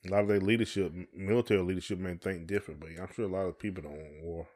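Low-pitched male voice, middle-aged, casual podcast chat, colloquial delivery. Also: steady tone, racing, darkish tone. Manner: colloquial, conversational. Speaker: podcast co-host.

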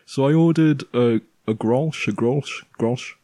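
A man with a low, resonant, bassy voice, a podcast guest telling anecdotes in a relaxed, deadpan way. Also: unhurried, dry recording. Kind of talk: casual chat.

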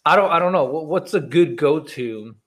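A man's voice: on the high side, expressive, normal speed, a bit bright. A man co-hosting a podcast in casual chat, rambling and frank, swearing freely as he thinks aloud. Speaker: podcast co-host.

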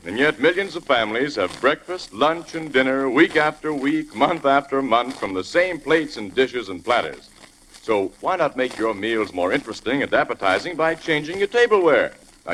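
A male announcer with lively, swinging intonation delivers a polished, emphatic advertisement in 1940s radio style. The vintage recording has faint noise. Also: poor audio. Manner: polished, emphatic sales pitch. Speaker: commercial announcer.

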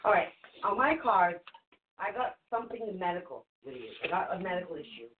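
A woman's voice: lowish voice, very animated, brisk, background noise. A woman whose first language is Portuguese, hosting a live call-in psychic reading show in a reassuring, chatty way that repeats things for emphasis. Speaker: spirit reader and show host. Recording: phone-like narrow sound.

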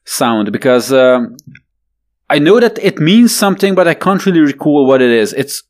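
A young man chatting casually, joking and wry, in an unhurried manner. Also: on the high side, sing-song. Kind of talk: casual chat.

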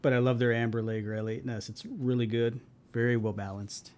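Enthusiastic co-host, mid-pitched man. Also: steady tone, fairly quick, a little mellow. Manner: enthusiastic, talkative. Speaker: podcast co-host. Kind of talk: casual podcast chat.

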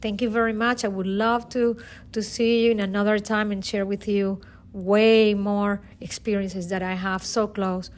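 A woman's voice: medium pitch, level tone, moderate pace. Earnest woman with a Venezuelan accent. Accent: Venezuelan Spanish. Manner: earnest, emotional, hesitant with fillers and restarts. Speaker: teacher and guest. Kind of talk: personal testimony.

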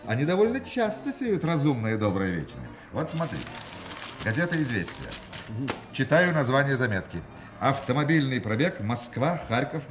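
Radio drama actor: male con artist and gang leader, grandiose and ironic, very animated delivery, music underneath. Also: mid-range voice, close to an everyday voice. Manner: pompous, ironic, oratorical. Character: grandiose, mocking male swindler leading a gang. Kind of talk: Russian radio play acting.

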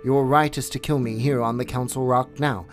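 A man's voice: mid-range voice, fairly even intonation, normal speed, lightly acted. A man voicing an old, weary male wolf who leads the pack, speaking with solemn dignity over faint music.

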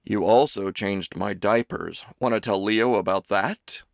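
A man's voice: on the low side, measured pace, vocal fry, no echo. A man voicing a shy young man, nervous and stammering. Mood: flustered.

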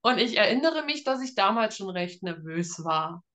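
Woman in her 30s, author doing a chatty interview, mid pitch, giggles a lot while talking. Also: lively intonation, normal speed, fairly bright tone. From Germany. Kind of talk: casual interview chat.

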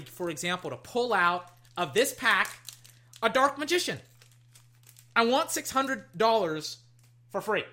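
A young adult, slightly hoarse, chatting casually while opening card packs at a desk, swinging between loud hype and low muttering and swearing freely. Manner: swears casually, trails off and restarts. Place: at a desk indoors.

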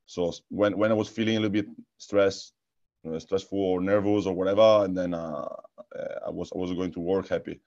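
Italian guy, very low voice, stumbles and repeats himself a lot, kinda lo-fi sound. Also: steady tone, brisk, darkish tone. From Italy. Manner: hesitant, many repeated words and restarts. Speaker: guest, futsal coach and technical director. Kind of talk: casual interview answers.

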